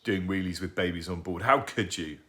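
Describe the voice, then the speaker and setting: A man's voice: deep voice, fairly quick, a bit bright. A man voicing a strict, horrified mother who shrieks and scolds in an audiobook. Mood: outraged.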